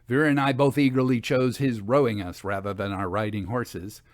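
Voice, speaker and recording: A man reading scripted literary narration as a storyteller, with formal, measured phrasing. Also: medium pitch, steady tone, no room sound.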